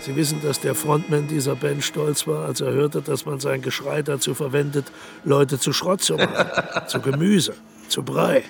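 An actor playing a vain, sardonic aging dictator in a radio play, mocking and grandiose, sinking into low sneering murmurs. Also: male voice, fairly even intonation, moderate pace, gravelly, over music. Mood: cynical. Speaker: title character in a radio play.

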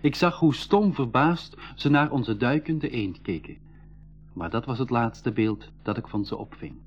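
A man's voice: mid-range voice, soft background music, natural voice. A man playing a sensible, earnest boy who tells his adventure in a 1980s school radio play. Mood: earnest narrating. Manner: measured, earnest storytelling. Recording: dull, band-limited old radio recording.

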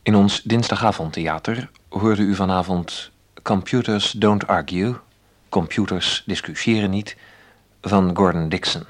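A man's voice: low voice, a little slow. A man reading scripted closing credits as a radio announcer.

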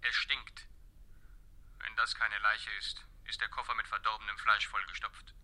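A man's voice: on the low side, flat delivery, moderate pace, bright, forceful tone. An actor playing a blunt, matter-of-fact police inspector reporting to his boss in a German radio play.

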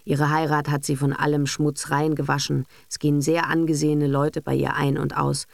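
A brisk, self-assured husband and father as a woman reader acts him, all business and cynicism in an audiobook. He is bossy and sardonic, with a creaky voice in a dry, close sound. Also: low-pitched, little pitch movement, no echo. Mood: knowing, cynical. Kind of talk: literary audiobook character acting.

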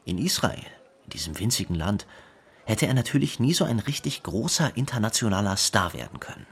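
Creaky, low-voiced man reminiscing. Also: big pitch swings, fairly quick. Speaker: narrator in a radio feature. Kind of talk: reflective recollection.

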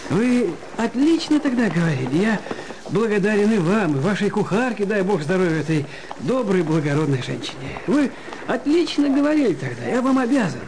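Radio play: humble reformed drunkard, high-pitched, deferential, emotional, lively swings, loud music underneath. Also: male voice, fairly quick, noisy background. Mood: grateful. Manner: deferential, old-fashioned servile politeness, emotional storytelling. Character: meek, grateful former drunkard beggar turned humble clerk. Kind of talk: theatrical radio play acting of classic literature.

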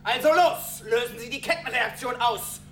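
Frantic high-pitched rebel, echoey. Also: male voice, normal speed, soft background music. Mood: frantic, threatening. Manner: agitated, forceful. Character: desperate male rebel crewman, hot-headed and threatening. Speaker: voice actor. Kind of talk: German science-fiction radio drama acting. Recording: echoey space.

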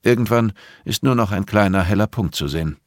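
A man voicing a composed, middle-aged blind teacher in an audiobook, patient and measured, with a dryly pointed way of explaining. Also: fairly low pitch, no room sound, clean studio sound, pitched above the performer's own voice, natural voice. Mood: calm, reflective. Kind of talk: audiobook character dialogue.

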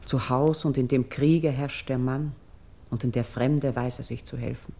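An actress playing a noble, devout exiled priestess in grief, delivering classical radio verse in a solemn, declamatory, measured way, at times hushed. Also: female voice, very deep voice, steady tone. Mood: lamenting, resigned.

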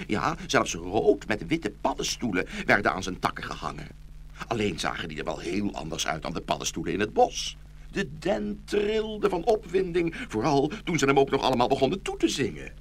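A man narrating a children's fairy tale in an expressive scripted storytelling style. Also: mid-range voice, gravelly, slightly rough. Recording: faint background noise.

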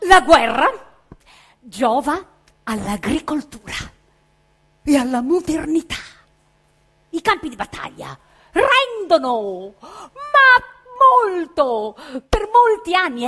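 A woman giving a theatrical literary recitation as a stage reader, declamatory, stretching words and pausing. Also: sits high, big pitch swings, sharp tone.

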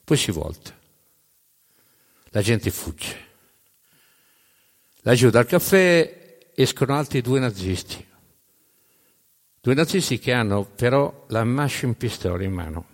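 A man narrating history as dramatic storytelling, in emphatic, measured phrases with pauses. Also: medium pitch. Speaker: narrator of a storytelling stage show. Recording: long hall reverb.

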